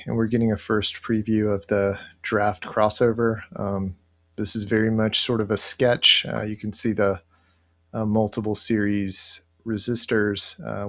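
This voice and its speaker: Low, gravelly man giving a tutorial. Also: little pitch movement, a bit bright. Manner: hesitant, halting, thinking aloud. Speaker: software tutorial presenter. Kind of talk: casual screen-share walkthrough. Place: indoor room, webcam screen recording.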